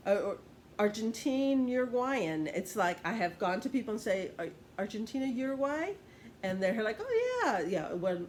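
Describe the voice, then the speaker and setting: A woman who grew up in Puerto Rico leads a Bible study lesson, thinking aloud, pausing and restarting as she checks her notes. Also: lowish voice, slight background noise.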